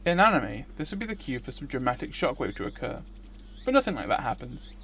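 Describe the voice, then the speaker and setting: A man's voice: medium pitch, sing-song, brisk, sharp tone, a little hiss or hum. A man streaming a visual novel, reading its narration aloud. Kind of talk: scripted narration read aloud.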